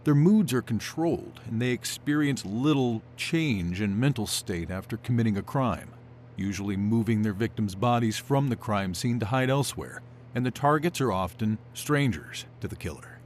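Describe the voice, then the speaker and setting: A man's voice: fairly low pitch, animated, normal speed, slight background noise, close to an everyday voice. A man playing a composed academic expert in an audio drama, explaining in a clinical, lecturing way. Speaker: expert interviewee.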